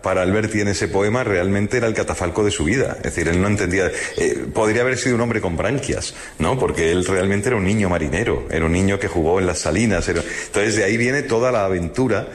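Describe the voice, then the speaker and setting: A man's voice: low-pitched, fast talker, hardly any pauses. A man from Madrid with a Madrid accent, musing in a lyrical, literary way and repeating words for emphasis in a reflective radio conversation. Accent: Madrid Spanish. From Madrid, Spain. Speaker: guest commentator on literature.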